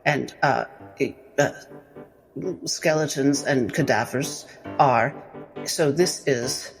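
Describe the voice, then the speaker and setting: A woman's voice: extremely low pitch, slow, soft background music, exaggerated voice, poor audio. A woman voicing a flustered, rambling doctor of the mind in a tabletop game, hesitant and academic, stammering through her words. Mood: rattled. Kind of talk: tabletop roleplay in character.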